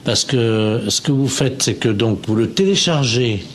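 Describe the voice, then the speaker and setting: Deadpan man posing as a phone salesman, noisy line. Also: medium pitch, measured pace, lightly acted. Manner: matter-of-fact, deadpan. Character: earnest male appliance shop phone salesman spouting absurdities. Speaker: phone prankster. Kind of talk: improvised prank phone call.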